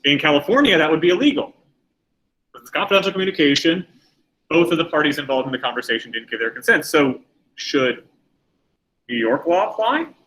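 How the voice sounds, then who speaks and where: A man's voice: fairly high pitch, lively intonation, brisk, pauses often, pushed and bright, poor audio. A middle-aged man teaching a class, lecturing in a conversational way and putting questions to the students.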